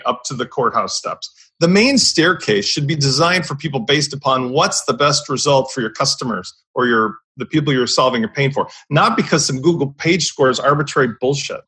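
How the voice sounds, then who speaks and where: A man's voice: mid-range voice, bright, forceful tone, slightly rough. A man on a podcast panel giving blunt, emphatic opinions in casual discussion, swearing freely.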